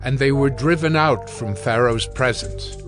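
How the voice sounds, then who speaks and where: Mid-pitched male narrator over music. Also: unhurried, fairly bright tone. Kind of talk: scripted audiobook narration.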